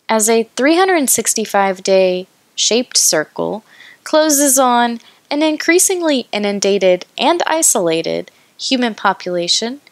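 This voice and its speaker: A woman poet reciting her own work deliberately, pausing between short phrases. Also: on the high side, dry recording. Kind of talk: poetry recitation.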